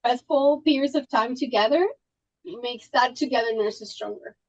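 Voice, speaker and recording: A woman's voice: fairly high pitch, normal speed, darkish tone. A middle-aged woman from Colombia, a surgeon guest, telling her story in a casual interview with many restarts and fillers. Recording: dull lo-fi audio.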